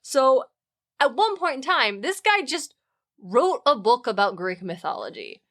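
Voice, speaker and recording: A woman's voice: high-pitched, big pitch swings, a little slow, a bit bright. A woman co-hosting a podcast, explaining research in a casual, wry, opinionated and informal way. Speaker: podcast co-host.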